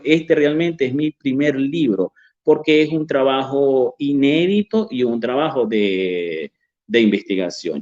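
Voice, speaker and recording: A man, a journalist and author, talking slowly in a recorded video conference, with many fillers and restarts. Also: mid-range voice, a little mellow, muffled recording. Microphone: video call.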